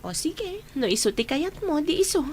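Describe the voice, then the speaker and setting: An actress playing a good-humoured expectant wife, easygoing and conversational, in a radio drama. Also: female voice, high-pitched, big pitch swings, moderate pace, a little mellow, a little hiss or hum. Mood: amused, agreeable. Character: good-humoured pregnant wife chatting with her husband.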